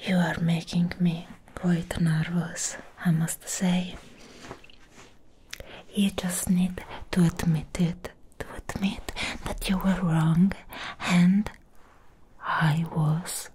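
Woman playing a slow, whispering possessive vampire ex-girlfriend. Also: fairly low pitch, flat delivery, a little mellow, slight background noise. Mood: possessive, coaxing. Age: young adult. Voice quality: breathy whisper. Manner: slow, drawn-out, coaxing. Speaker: ASMR roleplayer. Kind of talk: soft-spoken ASMR roleplay. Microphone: close microphone.

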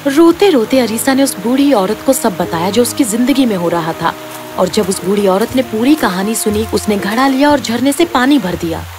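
A woman's voice: on the high side, lively intonation, normal speed, background noise. A woman narrating a scripted fairy tale as a storyteller. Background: background music.

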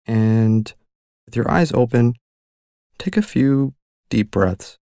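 A man leading a guided mindfulness meditation with slow, gentle and soothing instructions. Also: medium pitch, lively intonation, creaky, no echo, clean studio sound.

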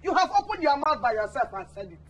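A woman's voice: sits high, very animated, fast talker. A woman playing an accusing mother. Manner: wailing, accusing, theatrical. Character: dramatic Nigerian mother-in-law, suspicious and scheming. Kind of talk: Nollywood-style skit acting.

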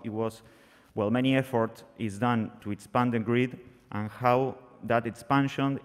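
Young man at a desk mic, slightly low voice, earnest academic talk that keeps restarting, long hall reverb. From Argentina. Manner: halting, frequent restarts, plain and earnest. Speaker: conference presenter, PhD researcher. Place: conference hall, seated at a panel table, masked. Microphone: desk microphone on a stand.

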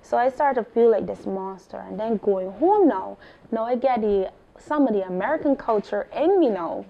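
A woman's voice: on the high side, moderate pace, vocal fry, muffled recording. A young woman from Barbados with a Barbadian accent, speaking into a handheld mic as the guest at a live artist talk in a small gallery. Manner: animated, expressive storytelling.

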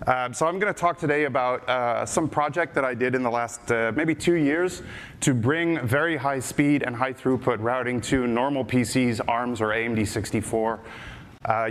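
A man's voice: medium pitch, fairly bright tone. Dutch man with American-sounding accent, hall reverb. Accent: American-sounding English. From Netherlands. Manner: casual, joking, many filler uh's and restarts. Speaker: conference speaker. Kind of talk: technical conference talk. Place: large conference stage. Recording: reverberant hall with faint background noise.

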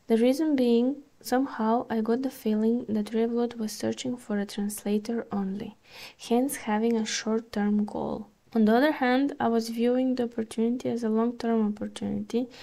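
A woman reads her own article aloud for a podcast, in a calm, measured pace with the odd "uh". Her voice sits a little high but sounds mellow and soft rather than bright. She is recorded close on the mic. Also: vocal fry. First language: Bulgarian. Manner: calm, measured reading with small hesitations. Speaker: podcast host.

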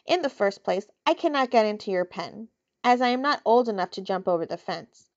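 A woman's voice: mid-range voice, lively intonation, a little fast, muffled recording, close to an everyday voice. A woman doing a haughty young lamb. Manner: prim, condescending.

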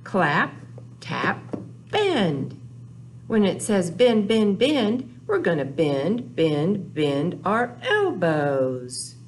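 A woman's voice: on the low side, big pitch swings, drawn-out words, slight background noise. An older woman hosting a children's storytime, speaking in simple, repeated phrases to young listeners in a small room. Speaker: children's librarian and storytime host. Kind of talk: children's storytime with rhymes and sing-along. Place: small indoor room, recorded for video.

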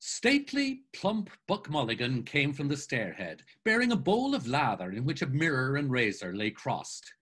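An older actor reading a novel aloud dramatically over a video call, with expressive, theatrical narration. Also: male voice, mid-range voice, normal speed, few pauses, husky. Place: home room, video call. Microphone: webcam on video call.

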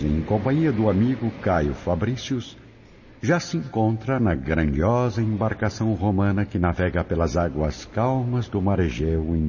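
A man's voice: low-pitched, lo-fi sound, noisy background. A man with a Brazilian accent giving dramatic scripted narration.

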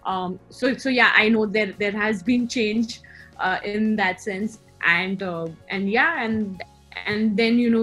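A woman's voice: medium pitch, low-quality recording. A woman in her thirties with an Indian accent, giving reflective, conversational interview answers and thinking aloud with some hesitation over a video call. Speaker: interview guest, co-founder of a queer community platform. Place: small room indoors, on a video call. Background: background music.

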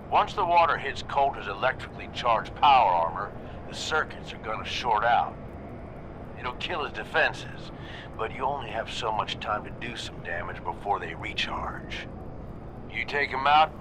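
An actor voicing a gruff, scheming raider in a video game, blunt, pushy and streetwise. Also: male voice, animated, moderate pace, natural voice. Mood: insistent. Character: gruff, scheming male raider coaching a captive. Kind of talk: video game character dialogue. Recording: game audio with low rumbling noise.

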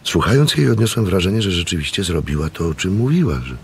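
Very deep, gravelly storyteller. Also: male voice, sing-song, fast, a little hiss or hum, exaggerated voice. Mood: thoughtful, doubting. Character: thoughtful male narrator, sceptical yet impressed witness. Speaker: narrator. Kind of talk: dramatic scripted radio narration.

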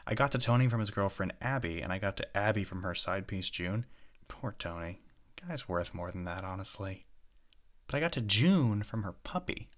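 A man in an ASMR roleplay playing an obsessive, possessive young stalker, with an intimate, halting delivery that slips into breathy whispers. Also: medium pitch, fairly bright tone.

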